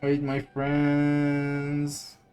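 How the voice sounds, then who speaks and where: Guy doing casual game commentary, talks slow, repeats himself thinking, soft music behind. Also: on the high side, monotone. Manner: hesitant, thinking aloud, repeating words. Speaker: gaming streamer.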